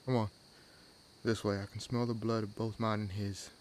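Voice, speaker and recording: A low male voice , an actor's, plays an ancient vampire in an audio roleplay. He is wry and world-weary, musing in a dry, sardonic way with unhurried pauses. Crickets and night ambience sit clearly behind him. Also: level tone, a little hiss or hum, natural voice. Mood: matter-of-fact, confident. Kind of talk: audio roleplay acting addressed to the listener. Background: added forest ambience with crickets and insects.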